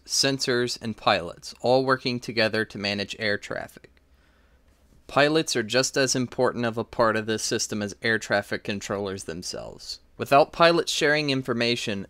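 A man's voice: medium pitch, a bit bright, dry recording. A young man reading a scripted lecture as a student presenter. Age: young adult.

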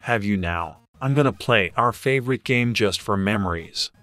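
A man's voice: lowish voice, moderate pace, a bit bright, no room sound, lightly acted. A man playing a sad, loyal, protective young best friend in a dramatised online story. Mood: sad, longing.

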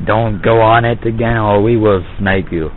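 Dude faking a russian mob guy, low slow deadpan threats, tinny phone line. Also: a little hiss or hum, lightly acted. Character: menacing Russian mafia man threatening callers. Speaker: prank caller. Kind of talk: prank phone call.

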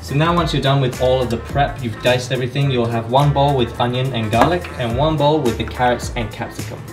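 A man's voice: medium pitch, brisk. A young man showing how to cook in a small home kitchen, explaining each step in a playful, joking way, filmed up close on a handheld camera. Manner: playful, jokey, explains steps to viewers. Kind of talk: casual cooking demonstration. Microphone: camera held by partner, close. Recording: music playing over the voice. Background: background music.

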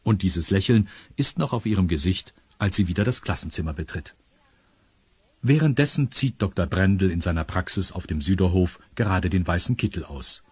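A man with a husky voice reading scripted narration for a children's audio story. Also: low-pitched, animated, normal speed, darkish tone, no room sound. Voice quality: husky, raspy. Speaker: narrator of a children's radio play.

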